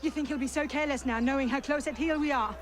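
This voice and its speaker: A woman's voice: high-pitched, steady tone, quick pace, soft background music, lightly acted. An actress playing a fierce, vengeful young woman in a video game, urgent and cutting. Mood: angry, bitter. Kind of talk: video game cutscene acting.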